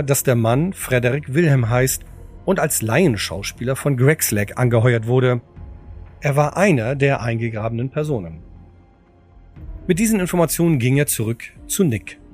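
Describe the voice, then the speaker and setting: Man, audio drama narrator, scripted reading, medium pitch, background music. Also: darkish tone.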